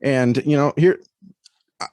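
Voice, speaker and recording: A man speaking as a guest critic in a thoughtful podcast conversation, measured and often repeating words as he chooses them. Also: mid-range voice, a little mellow, clean tone, no room sound.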